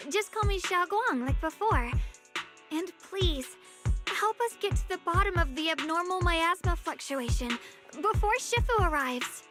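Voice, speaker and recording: A woman playing an earnest, formal young swordswoman in an anime-style video game, measured and polite. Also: extremely high pitch, lively intonation. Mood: grateful, pleading. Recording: game dialogue over prominent music.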